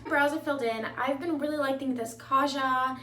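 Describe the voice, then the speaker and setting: Female beauty vlogger, upbeat and chatty, high-pitched, recorded in a roomy space. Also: fairly even intonation, moderate pace, pushed and bright, a little hiss or hum. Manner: chatty, upbeat, lots of "like" fillers. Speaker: makeup vlogger. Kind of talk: casual makeup tutorial. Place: at home. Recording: echoey room.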